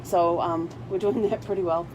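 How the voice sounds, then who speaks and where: Chatty woman vlogging from inside a parked rv, lowish voice, noticeable hum behind her. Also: steady tone, normal speed, a little mellow, low-quality recording. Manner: chatty, informal, talks to her dog in asides. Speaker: vlog host. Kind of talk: casual rambling livestream chat.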